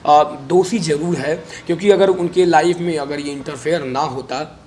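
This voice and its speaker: Higher-voiced man answering interview questions, noisy recording. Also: fairly even intonation, normal speed, darkish tone. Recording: noisy recording with background ambience.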